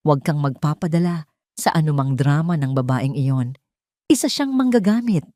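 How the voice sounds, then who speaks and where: A woman's voice: deep voice, lively intonation, normal speed, dark tone, no echo, clean studio sound. Woman acting a stern, controlling mother. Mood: stern, commanding. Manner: hard, commanding. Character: stern, angry, controlling rich mother of a grown son.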